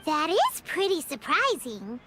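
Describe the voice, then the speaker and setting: A woman voicing a tiny, excitable, childlike floating companion in a video game, squeaky and speaking of herself in the third person. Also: a little slow, exaggerated voice. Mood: mildly puzzled. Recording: loud music behind the voice. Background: music.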